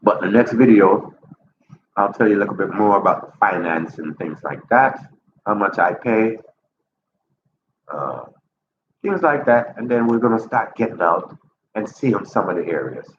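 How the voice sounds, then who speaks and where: A man in his fifties talks to camera in his apartment, upbeat and chatty, his voice jumping high to make a point. His nose is a little stuffy from a cold. The sound is roomy and a bit dull, with a clear background hiss. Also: animated, moderate pace, long pauses. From Jamaica. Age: 50s. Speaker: vlogger. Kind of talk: casual vlog talk to camera. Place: apartment indoors. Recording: roomy lo-fi audio with ambient noise.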